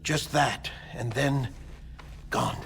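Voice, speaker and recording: An actor playing an earnest, concerned colleague in a cinematic video game, with a measured, explanatory, reassuring way of talking. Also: male voice, medium pitch, gravelly, a bit bright, a little hiss or hum, exaggerated voice. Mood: grave, informative. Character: earnest, concerned male colleague briefing a comrade.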